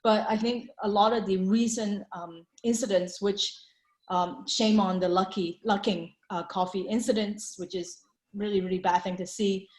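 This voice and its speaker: A woman's voice: mid-range voice, fairly even intonation, normal speed. A woman talks through her slides on a video call, chatty and loose, full of fillers and restarts. Her voice sits mid-range, and a roomy echo hangs around it. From Hong Kong. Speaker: venture capital panelist. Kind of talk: presenting slides in an online panel.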